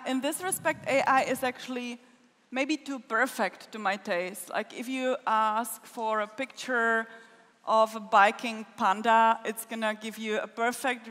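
A woman's voice: on the high side, measured pace, a bit bright. A woman answering audience questions as a conference keynote speaker, in an informal, joking way. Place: large wooden concert hall with audience. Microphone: stage microphone. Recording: echoey concert hall.

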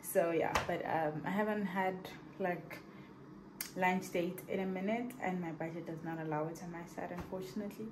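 A woman's voice: on the low side, normal speed. A young woman chatting casually to her viewers in a rambling, thinking-aloud way while vlogging at home. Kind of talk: casual vlog chat to camera. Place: at home, partly in a kitchen. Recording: home video with steady background noise.

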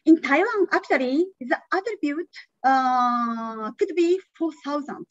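A woman's voice: high-pitched, animated, unhurried, no echo, lo-fi sound. A woman, not a native English speaker, giving an academic conference talk over a video call, with many hesitant fillers. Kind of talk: online academic presentation. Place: indoor room.

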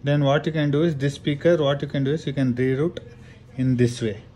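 A man's voice: mid-range voice, fairly even intonation, fairly quick, warm tone. A man with an Indian accent giving a casual hands-on how-to, explaining step by step and often checking in with "right". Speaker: tutorial presenter. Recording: clear background noise and room ambience.

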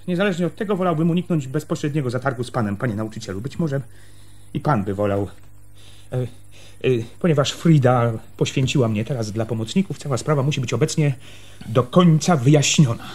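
An actor playing a stubborn, argumentative school janitor in a radio drama, speaking in a formal, wordy, insistent way. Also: male voice, on the high side, close to an everyday voice. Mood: dry, reasoning. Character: stubborn, argumentative male school janitor defying his superior. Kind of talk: Polish radio drama acting.

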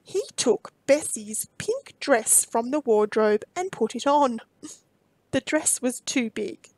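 A woman reading a children's storybook aloud expressively, with deliberate phrasing and dramatic emphasis. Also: a little high, lively intonation, a little mellow, no room sound, rough recording.

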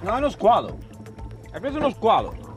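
A man's voice: high voice, huge pitch swings, fairly quick, music in the background. A man hosting a fishing show, chatting casually on a small boat at sea and coaching his fellow angler.

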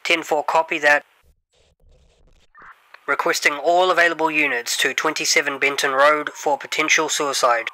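A man plays a procedural police dispatcher. His voice is a bit high and very sharp, with faint noise and clicks of a radio around it. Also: steady tone, moderate pace, pauses often. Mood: brisk.

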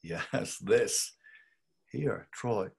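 A husky, musing book browser. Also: male voice, fairly low pitch, very slow, a little mellow, full character voice. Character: middle-aged man browsing racy novels. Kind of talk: dramatic reading. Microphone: video call.

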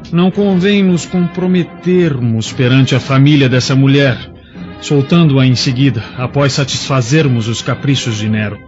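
An actor playing a cautious, formal Roman officer with a Brazilian accent in a radio play. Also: male voice, mid-range voice, lightly acted. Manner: formal, deliberate, authoritative. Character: cautious, calculating Roman commander, male officer. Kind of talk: dramatized audiobook radio play in Portuguese. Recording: dull lo-fi audio, music behind.

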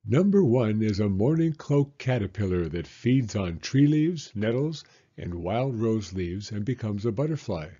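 Man, 60s, children's storyteller: low-pitched, raspy, measured delivery, slightly dull recording. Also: expressive, dark tone. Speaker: story reader and host, elementary school teacher. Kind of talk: children's picture-book read-aloud.

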